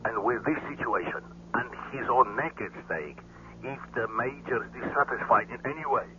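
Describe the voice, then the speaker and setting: An actor playing an anxious, deferential male agent in a 1970s radio drama, speaking formally and haltingly. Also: mid-range voice, pushed and bright, natural voice. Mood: uneasy, hesitant. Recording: muffled, noisy telephone line in old radio.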